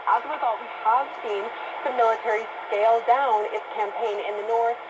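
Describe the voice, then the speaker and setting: A woman's voice: on the high side, fairly even intonation, fairly quick, lo-fi sound. A woman news correspondent giving an explanatory, emphatic report, heard over very noisy shortwave radio. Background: loud radio static.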